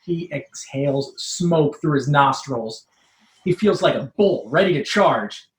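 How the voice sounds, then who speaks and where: A woman's voice: low voice, animated, measured pace. A woman reading a scripted comic story aloud as narrator. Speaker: troupe member reading own comic story. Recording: roomy, echoing live room.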